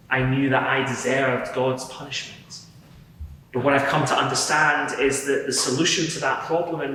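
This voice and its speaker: Guy acting earnest rabbi witness in courtroom drama, halting explainy delivery, super echoey and far from mic. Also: mid-range voice, fairly bright tone, lightly acted. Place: large reverberant courtroom before a live gathering. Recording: echoey hall, distant mic, faint noise.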